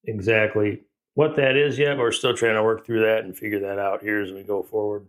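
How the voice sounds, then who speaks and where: A man's voice: fairly low pitch, steady tone, brisk. A man co-hosting a casual beer podcast, making dry joking asides between short agreements, recorded in a small echoey room with a tinny sound. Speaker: podcast co-host. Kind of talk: casual chat.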